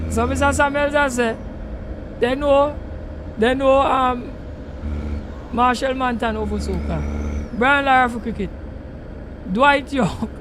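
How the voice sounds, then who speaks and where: Ranting Tobagonian man over truck noise. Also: very high-pitched. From Tobago, Trinidad and Tobago. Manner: rambling, ranting, heavy Creole speech. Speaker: live-stream gaming host. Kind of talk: casual live-stream chat while gaming.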